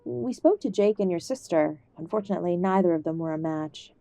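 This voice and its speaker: Audio drama mother female actor: frail, conciliatory, middle-aged, very dark muted tone, close dry sound. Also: lowish voice, fairly quick, vocal fry, no room sound, faint music behind. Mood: subdued. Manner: soft, pleading, conciliatory. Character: ailing, contrite older mother of estranged werewolf son. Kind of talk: audio roleplay drama acting.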